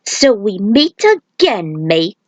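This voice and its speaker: A woman's voice: mid-range voice, sing-song, slow pace, dry recording. A woman voicing a vile, gloating male crime boss villain from a fan fiction story. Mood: taunting. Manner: gloating, menacing.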